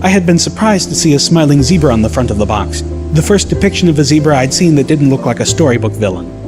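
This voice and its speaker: A man's voice: on the high side, very animated, fast talker, music in the background. A man reading a first-person scripted story as an audiobook narrator.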